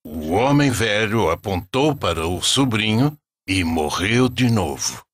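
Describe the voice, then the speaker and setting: Brazilian male narrator with lively intonation. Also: on the low side, unhurried, no room sound, studio quality. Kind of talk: scripted religious storytelling narration for a cartoon.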